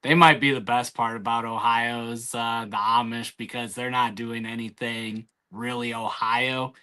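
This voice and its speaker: A man's voice: mid-range voice, little pitch movement, measured pace, pushed and bright, clean tone. A man chatting casually and jokingly, with drawling, hesitant trash talk.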